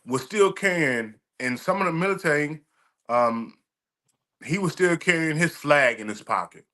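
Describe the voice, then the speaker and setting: A man's voice: on the high side, expressive, normal speed, bright, forceful tone. A man co-hosting a podcast, chatting casually and telling stories in an emphatic, profane way. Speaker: podcast co-host. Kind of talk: casual chat.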